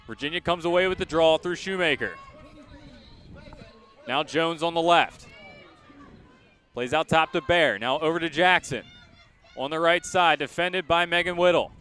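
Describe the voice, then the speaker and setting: A man calling live college lacrosse as a radio play-by-play announcer, in crisp short present-tense phrases, outdoors at a sports field. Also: fairly high pitch, sharp tone.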